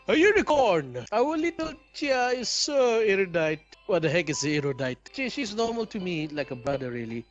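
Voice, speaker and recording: Animated man reading a visual novel. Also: high voice, normal speed, lo-fi sound, soft background music. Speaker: video game player and narrator. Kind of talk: expressive read-aloud playthrough of a visual novel.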